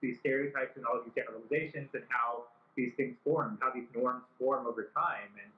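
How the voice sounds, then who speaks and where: A man's voice: medium pitch, steady tone, a little fast. Man talking earnestly in an interview, lots of "like"s, mid voice, roomy and a bit dull. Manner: earnest, many "like" fillers and restarts. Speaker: film director, guest. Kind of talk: interview conversation. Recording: echoey, dull-sounding recording.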